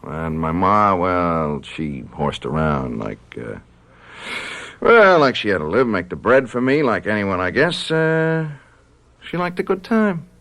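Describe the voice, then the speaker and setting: A man's voice: low voice, very animated, measured pace, a bit bright, full character voice. An actor playing a brash, troubled young college man in a radio drama, with slangy, stammering, defensive speech. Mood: agitated, bitter. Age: young adult.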